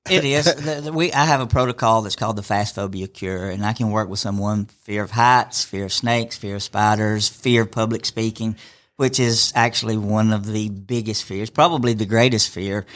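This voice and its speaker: Low-voiced coach with dry humor. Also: male voice, moderate pace. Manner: steady, explanatory, dry humor. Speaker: guest, hypnosis coach. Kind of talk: casual radio interview.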